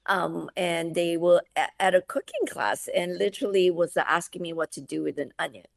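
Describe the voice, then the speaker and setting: Middle-aged woman from malaysia, lively chatty guest, bit of creak in the voice, dry close sound. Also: on the low side, normal speed, dry recording. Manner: animated, with frequent restarts and "you know". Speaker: guest, food subscription founder. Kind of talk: conversational interview.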